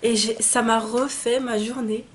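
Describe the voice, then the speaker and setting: A young woman speaks in a slightly high voice, her nose blocked up from a cold. She talks casually and eagerly, full of fillers and restarts, as she shows off beauty products. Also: little pitch movement, a little slow, slight background noise. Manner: chatty and enthusiastic, many fillers and restarts. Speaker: beauty vlogger. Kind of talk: casual unboxing chat to camera.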